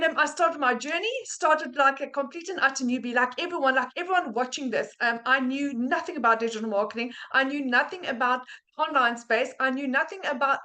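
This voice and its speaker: Bright high-voiced woman. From South Africa. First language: Afrikaans. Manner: earnest, many ums and restarts. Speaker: guest sharing a testimonial. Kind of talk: personal testimony. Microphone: video call.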